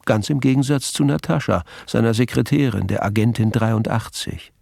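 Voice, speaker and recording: Male narrator, gravelly, measured scripted crime narration, close dry recording. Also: medium pitch, mellow, no room sound.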